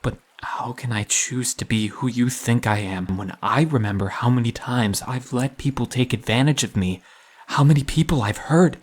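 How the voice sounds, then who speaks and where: A man playing a wounded, self-doubting young man in an audio drama, speaking hesitantly and confessionally in a breathy, husky voice. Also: medium pitch, moderate pace, close to an everyday voice. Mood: pained, guilty.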